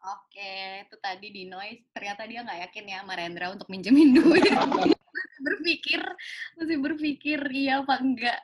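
A woman's voice: fairly high pitch, normal speed, heavy background noise. A woman with a Jakarta Indonesian accent hosting a podcast in casual, playful banter. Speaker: podcast host.

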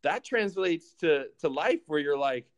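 A man's voice: fairly high pitch, animated, a little slow, lo-fi sound. A man in his thirties, a former pro ballplayer, in casual conversation, animating his stories by acting out his own thoughts. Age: 30s. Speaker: former pro baseball player.

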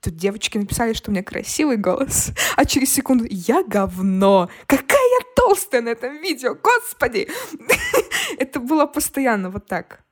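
Young female podcast guest, singer type. Fairly high voice, informal and expressive delivery. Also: moderate pace. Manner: expressive, informal, acts out her inner dialogue. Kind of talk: casual conversational interview answers.

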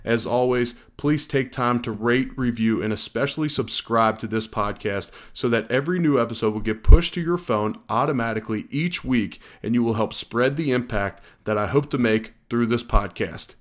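A man hosts an interview podcast. He opens with a measured, scripted guest introduction, then eases into easy, friendly banter. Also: mid-range voice. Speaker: podcast host.

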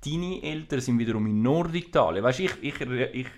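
A man's voice: mid-range voice, moderate pace. A man speaking with a Swiss German accent, whose first language is Italian, chatting casually as a podcast co-host and explaining things at length. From Switzerland. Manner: lively, explains at length with restarts. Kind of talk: casual chat.

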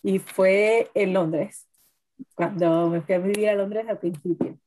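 A woman's voice: mid-range voice, steady tone, takes it slowly, warm tone. A woman co-hosting a podcast, telling stories in a chatty way and often tagging on "¿no?". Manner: chatty, keeps adding "¿no?". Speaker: podcast co-host. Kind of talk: casual chat over wine.

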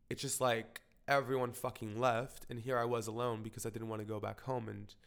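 A man's voice: medium pitch, clear, resonant tone. Candid young man with a cold. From Portugal. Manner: frank, rambling, heavy filler words and swearing. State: slightly congested with a cold. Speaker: vlogger. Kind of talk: candid personal vlog monologue.

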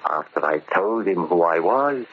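An actor playing a vengeful, cunning ex-convict barber in 1940s radio drama, speaking with deliberate, menacing politeness. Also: male voice, sits low, lively intonation. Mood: smug, cold. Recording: scratchy, narrow-band old radio recording.

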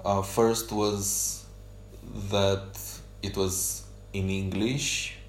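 A man whose first language is Romanian hosts a solo podcast journal, talking off the cuff. He speaks slowly in a low voice, halting often to search for words. The room sounds echoey, with a faint hiss behind him. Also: level tone. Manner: hesitant, many pauses and fillers. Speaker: podcast host.

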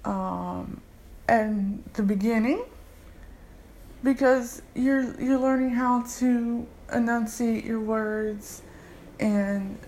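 Woman tutor, slightly high voice, slow and casual explainer. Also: darkish tone. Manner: unhurried, explanatory, with fillers. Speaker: language tutor. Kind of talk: casual instructional talk.

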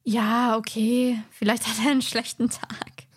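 Female co-host, slightly high voice, informal conversational delivery. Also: level tone, measured pace, breathy edge. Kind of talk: casual chat.

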